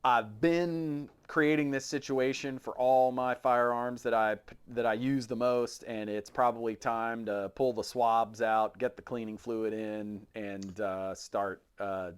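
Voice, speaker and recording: A man's voice: medium pitch, level tone, measured pace, hardly any pauses, clear, resonant tone. A man co-hosting a podcast with casual banter, often repeating words as he gets phrases started. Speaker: podcast co-host.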